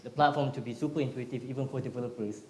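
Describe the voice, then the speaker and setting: A man's voice: medium pitch, steady tone, rapid-fire, slight background noise. A man moderating a Q&A at a tech meetup, prompting the guest and the audience in a friendly, upbeat way. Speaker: event moderator. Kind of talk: hosting a Q&A. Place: meetup event room. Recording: echoey room.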